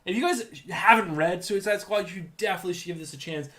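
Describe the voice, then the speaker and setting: A man's voice: sits high, fast talker, pushed and bright. Podcast co-host, man, New England accent, eager and rambling, lots of ums and restarts. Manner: enthusiastic, rambling with restarts and fillers. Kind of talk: casual chat.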